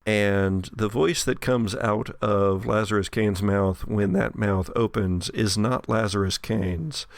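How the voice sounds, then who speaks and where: A man's voice: fairly low pitch, fairly even intonation, slow, creaky, no echo, clean studio sound. A man running a tabletop horror game as game master, narrating scenes and guiding players.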